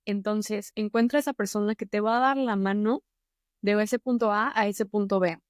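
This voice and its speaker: A woman's voice: mid-range voice, steady tone, normal speed, clear, resonant tone, no room sound, clean studio sound. A woman with a Mexican Spanish accent giving earnest, encouraging advice to the camera as a public-speaking mentor and YouTube host.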